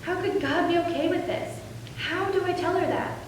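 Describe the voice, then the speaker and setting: A woman's voice: extremely high pitch, steady tone, moderate pace. A woman playing a frightened, haunted young male soldier writing home from war in a readers theater piece. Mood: anguished. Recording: echoey hall from far off, faint noise.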